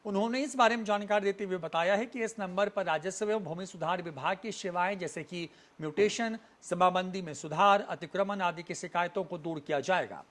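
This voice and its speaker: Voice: medium pitch, a little fast, few pauses, fairly bright tone. A news presenter delivering scripted news narration in a formal report style.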